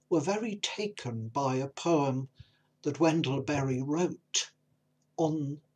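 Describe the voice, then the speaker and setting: A man presenting and reading poetry in a measured manner, pausing between phrases. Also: mid-range voice, breathy edge. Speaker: compilation presenter and poetry reader.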